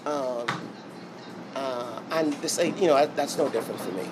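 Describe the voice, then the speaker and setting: Bahamian male interview guest, reflective, halting with ums, mid pitch, steady background hum. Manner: reflective, many ums and restarts. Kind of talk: casual interview talk.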